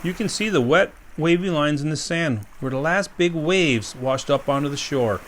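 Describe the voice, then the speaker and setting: A park ranger reading a sensory story aloud to young children, gently asking them questions as he goes. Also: a little high, animated, moderate pace, a little hiss or hum.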